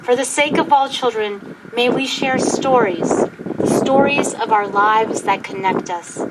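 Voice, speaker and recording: Loud wind noise batters the microphone at an outdoor event. Over it, a woman with a high voice delivers a prepared speech. She speaks formally and deliberately, with a solemn bearing suited to a commemoration. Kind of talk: prepared public speech, read aloud. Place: outdoors at a historic site.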